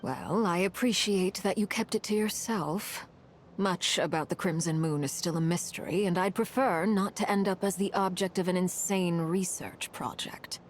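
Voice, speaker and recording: A woman playing a composed, commanding harbinger of cursed fire in a video game, speaking in a measured, solemn, formal way. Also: on the low side, slight background noise, lightly acted. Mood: businesslike. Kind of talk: dramatic video game voice acting.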